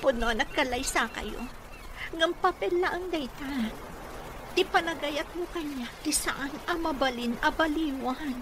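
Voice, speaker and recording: A woman's voice: high voice, unhurried. A Filipino actress playing a lovelorn, insistent other woman in a melodramatic radio drama. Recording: noisy radio drama track with ambience.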